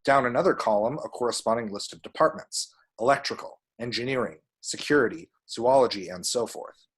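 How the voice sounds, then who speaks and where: A man's voice: mid-range voice, a little fast, fairly bright tone, slightly rough. A man reading screenplay action descriptions as scripted narration at a table read.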